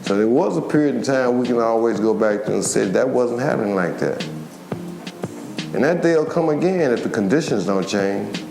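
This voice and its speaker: A man's voice: medium pitch, lively intonation, moderate pace, creaky. An older man giving an impassioned political speech in an emphatic, colloquial way, repeating phrases for emphasis. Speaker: political activist and former militant speaking. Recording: echoey room with music behind.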